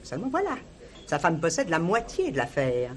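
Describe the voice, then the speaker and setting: Sharp-tongued wife with animated delivery. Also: female voice, lowish voice, moderate pace. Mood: disdainful. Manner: gossipy, disdainful, bossy with staff. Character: sharp-tongued, resentful merchant's wife, middle-aged. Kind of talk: French radio crime drama acting.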